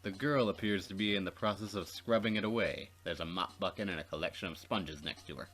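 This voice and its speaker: A man's voice: low-pitched, a little fast, fairly bright tone. A man co-hosting a let's play, reading game text aloud and chatting casually with dry, joking asides. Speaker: let's play co-host and reader.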